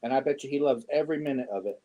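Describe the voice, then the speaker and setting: A man in his fifties chatting casually with viewers on a yarn livestream, joking and often clearing his throat. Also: mid-range voice, racing, warm tone, poor audio. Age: 50s. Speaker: yarn channel host. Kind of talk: casual livestream chat answering viewer comments.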